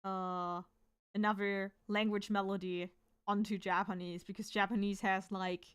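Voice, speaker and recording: Smooth-voiced woman chatting loosely. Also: mid-range voice, little pitch movement, normal speed. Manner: rambling, thinking aloud with many fillers. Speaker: artist streaming while drawing. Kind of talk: casual chat to stream viewers.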